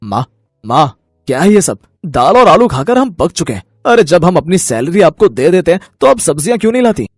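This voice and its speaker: Animated, high-voiced grumbling grown son acted by a man. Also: fairly quick, husky, dry recording, studio quality. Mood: exasperated. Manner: whiny, exasperated. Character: fed-up grown son and office worker, grumbling.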